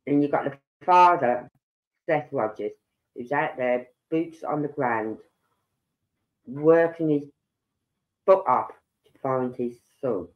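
Man, middle-aged, head cold, sore throat, slow delivery, rambling chat. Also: mid-range voice. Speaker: livestream host. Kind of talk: casual livestream chat. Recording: dull lo-fi sound.

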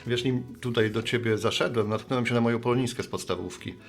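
A man's voice: medium pitch, steady tone, fast, gravelly, soft background music, natural voice. An actor playing a good-natured, sheepish middle-aged man, a postman and bar regular, talking in a folksy, rambling way in a radio play.

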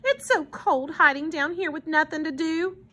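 A woman's voice: high-pitched, normal speed, pushed and bright, pitched above the performer's own voice. A woman voicing a blunt, curious little boy in a storybook, with a plaintive, questioning manner. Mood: pleading.

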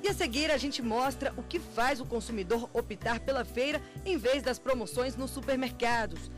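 A Brazilian woman TV reporter reading a scripted news feature in a clear, upbeat way. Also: on the high side, moderate pace, music in the background. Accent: Brazilian Portuguese.

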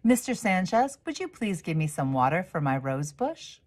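A woman's voice: lowish voice, very animated, normal speed, darkish tone. A woman voicing a hopeful, determined little girl in a storybook, earnest and eager.

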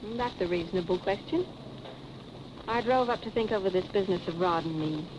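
An actress playing a poised, wry young wife in 1940s film style, cool and arch in manner. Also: female voice, medium pitch, brisk, background noise, lightly acted. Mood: composed, teasing. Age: young adult. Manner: cool, arch, composed irony. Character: wry, poised young wife attending her own funeral. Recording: dull old film soundtrack with music.

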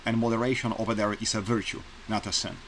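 A man's voice: on the low side, level tone, fast, a bit bright, a little hiss or hum. A man in his forties from Poland with a Polish accent, talking earnestly and plainly to his webcam in a small bedroom, repeating his points for emphasis. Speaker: vlogger. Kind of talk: casual opinion vlog to camera. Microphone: webcam close-up.

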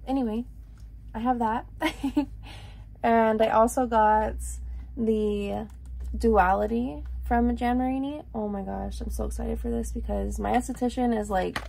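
Raspy-voiced young woman chatting casually. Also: fairly high pitch, fairly even intonation, a little slow, darkish tone, a little hiss or hum. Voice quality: raspy, dry morning voice. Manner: rambling, lots of likes and ums. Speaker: vlogger. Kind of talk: casual chat to camera. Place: small room indoors.

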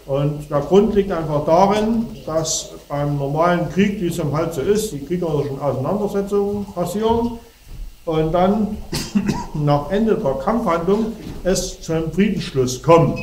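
A man's voice: a little high, lively intonation, unhurried, a little mellow, slight background noise. Man with a cold, lecturing in a hall. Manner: standing and gesturing while explaining. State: has a cold. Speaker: speaker. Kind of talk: lecture to an audience. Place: darkened hall before a projection screen. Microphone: far camera. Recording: echoey hall from far off.

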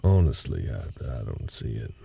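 A man playing a gruff, world-weary but gentle cowboy ranch hand in an intimate audio roleplay, speaking in a halting, folksy way. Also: sing-song, heavily acted. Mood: relaxed, wry. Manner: halting, low-key, folksy drawl-like phrasing. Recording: night ambience noise behind the voice.

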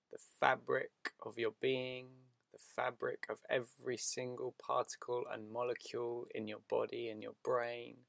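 A man leading a guided meditation in a soothing, unhurried manner, speaking in short phrases with long pauses. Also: mid-range voice, gravelly, fairly bright tone, no echo.

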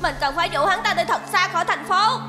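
Young robot boy, brave, urgent, extremely high voice, fast, cartoon dub. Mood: brave, defiant. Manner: urgent, scolding, righteous. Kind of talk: animated cartoon dub acting. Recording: loud music behind the voice.

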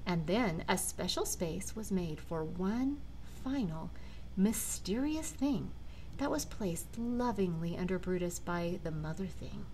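An older woman, a grandmother, reading a children's storybook aloud with dramatic, deliberate phrasing. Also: on the low side, animated, dark tone, a little hiss or hum, low-quality recording. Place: large living room at home.

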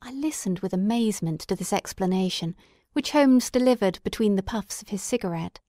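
A woman playing an excitable, devoted gentleman storyteller in a dramatic audiobook, with an expressive, earnest and formal delivery. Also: medium pitch, brisk, no room sound, studio quality, natural voice. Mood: earnest narration.

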